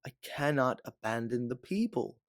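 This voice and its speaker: A man voicing a soft, pompous politician with a haughty, blustering manner. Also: medium pitch, lively intonation, moderate pace, dry recording, clean studio sound, natural voice.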